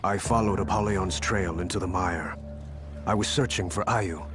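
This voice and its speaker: An actor playing a grim, steady male warrior in a video game's scripted story. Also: low voice, moderate pace, noisy background, natural voice. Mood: grim, searching. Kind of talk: scripted game narration and dialogue. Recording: loud music behind.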